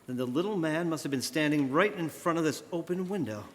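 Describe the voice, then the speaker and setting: Man playing an earnest radio detective, higher-pitched voice. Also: expressive, brisk, natural voice. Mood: anxious, urgent. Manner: earnest, decisive, clipped. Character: urgent, clever male amateur detective, secretly a vigilante. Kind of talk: old-time radio drama acting. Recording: live stage in reverberant church hall.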